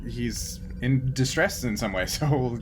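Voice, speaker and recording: A man's voice: medium pitch, a little slow, over music. A man running a tabletop game, improvising narration and bantering in a jokey, chuckling way. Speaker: game master. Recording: noisy room, steady background noise.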